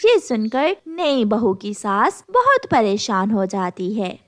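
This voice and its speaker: A woman narrates a children's tale in a high voice. Her delivery is very slow, with lively rises and falls. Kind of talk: scripted children's story narration.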